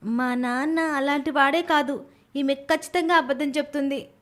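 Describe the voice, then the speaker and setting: Woman doing little girl, high childlike voice, earnest, loyal daughter, animated story. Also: moderate pace. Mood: indignant, earnest. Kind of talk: animated moral story voice acting.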